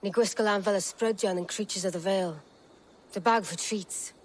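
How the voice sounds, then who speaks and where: A woman's voice: mid-range voice, normal speed, a little hiss or hum, lightly acted. A woman acting a playful villager in a dramatic game cutscene. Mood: teasing. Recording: faint outdoor ambience.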